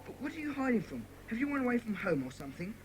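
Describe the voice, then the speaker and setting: A woman playing an excitable, kindly, slightly nervous schoolboy in a children's audio drama, expressive and exclamatory. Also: fairly high pitch, rapid-fire. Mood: curious, kindly. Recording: clear background noise.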